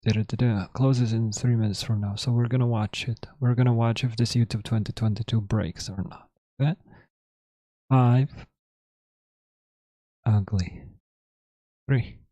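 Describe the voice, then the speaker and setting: A man with a sore, hoarse throat hosting a live trading stream, thinking aloud in halting, self-correcting commentary. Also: medium pitch, fairly even intonation, warm tone, no echo. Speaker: trading livestream host.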